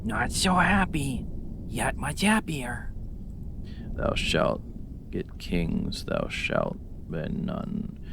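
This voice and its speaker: An actor voicing an eerie witch in a dramatic Shakespeare reading, chanting prophecies in a gravelly, drawn-out incantation. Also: medium pitch, huge pitch swings, heavily acted. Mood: ominous. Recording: noisy ambience, thunder effects.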